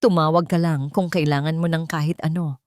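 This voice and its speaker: A woman's voice: deep voice, fairly even intonation, brisk, darkish tone, no echo, natural voice. A woman voicing a caring, emotional older nanny in a dramatic audio story. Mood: tearful, caring.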